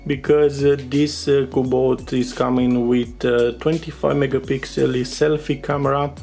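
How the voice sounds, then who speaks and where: A man whose first language is Romanian walks through a product in an informal, unscripted way. His mid-pitched voice has noticeable fry, and he often pauses on fillers. Faint guitar music plays behind him. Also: level tone, darkish tone. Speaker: tech reviewer. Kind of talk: casual unscripted product overview commentary.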